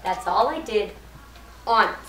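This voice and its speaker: A woman's voice: medium pitch, animated, measured pace, fairly bright tone. Woman as a timid, earnest little schoolgirl, echoey. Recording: noisy echoey room, far from mic.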